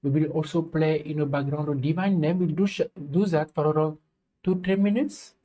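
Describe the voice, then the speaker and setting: A middle-aged man from France with a French accent, hosting a live stream in halting, filler-filled English. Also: a little high, fairly even intonation, warm tone. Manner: halting, many fillers, non-native English phrasing. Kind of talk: casual spoken introduction.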